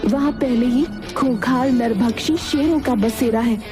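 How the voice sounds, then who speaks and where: A woman's voice: a little high, measured pace, music in the background, pitched above the performer's own voice, lightly acted. A woman voicing a seductive, treacherous princess in a story, honeyed and theatrical in manner. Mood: cold plotting.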